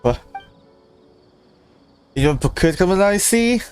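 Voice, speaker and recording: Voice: medium pitch, expressive, slow, darkish tone, smooth, faint music behind. A video game streamer giving casual, rambling live commentary and reacting aloud to the game.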